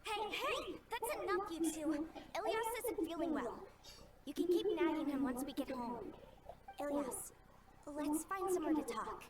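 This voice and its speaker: Gentle but firm young sister, video game; high, distant and echoing. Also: female voice, expressive, unhurried. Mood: scolding, protective. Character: caring, firm young sister, protective and frank. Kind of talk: English video game voice acting. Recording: very echoey, distant, crowd murmur behind.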